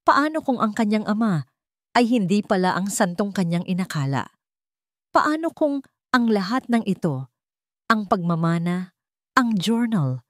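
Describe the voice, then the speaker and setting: A woman's voice: mid-range voice, no room sound, studio quality. A woman reading a scripted dramatic story as its narrator.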